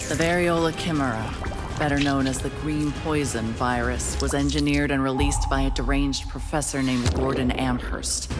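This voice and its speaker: Blunt, low-voiced female field commander, music behind. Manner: matter-of-fact, blunt briefing. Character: steady, candid female agent and acting division commander. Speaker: commander briefing an agent. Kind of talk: scripted game cutscene dialogue.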